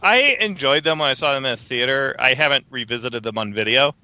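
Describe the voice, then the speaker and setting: A man co-hosting a podcast, talking casually about movies in a relaxed, conversational way with frequent fillers. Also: medium pitch, fast talker, muffled recording. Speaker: podcast co-host. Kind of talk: casual film discussion.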